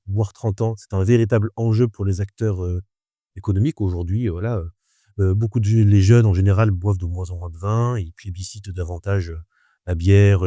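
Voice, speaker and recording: A man in his thirties who teaches wine, talking in a measured, hesitant way with many fillers in a casual podcast chat. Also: fairly low pitch, warm tone, dry recording, studio quality. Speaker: wine instructor.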